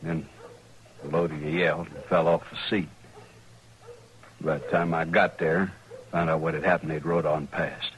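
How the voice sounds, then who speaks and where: An actor playing a hot-headed, vengeful farmer with a rural Western American accent, terse, in a radio western. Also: male voice, very deep voice, little pitch movement, moderate pace, long pauses. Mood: worried, bitter. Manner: terse, threatening. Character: bitter, vengeful Old West farmer, hot-headed husband. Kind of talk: old-time radio western acting. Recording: faintly noisy old radio recording.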